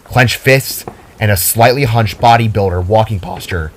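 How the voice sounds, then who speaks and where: A man's voice: lowish voice, moderate pace. A man reading scripted fiction as a dramatic story narrator.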